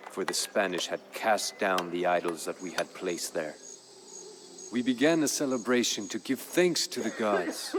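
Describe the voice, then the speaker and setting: A proud Aztec emperor with a deep, low-set voice. He recounts his people's war like a formal chronicle, somber and measured, every phrase grave. Music plays behind his video game narration. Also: noisy background. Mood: somber, concerned. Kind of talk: solemn scripted narration in character.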